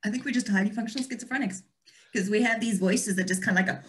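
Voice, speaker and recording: A woman's voice: medium pitch, quick pace, slight background noise. Wry woman chatting casually. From South Africa and Austria. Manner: wry, playful. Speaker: author, interview guest. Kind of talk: casual chat. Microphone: video call.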